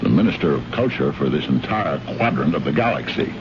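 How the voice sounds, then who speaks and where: Very deep-voiced, overbearing official. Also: male voice, expressive, brisk. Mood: stern. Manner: brusque, blustering, lecturing. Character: officious, overbearing male trade official, jealous and dismissive. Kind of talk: old-time radio drama acting. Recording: dull, noisy vintage radio recording.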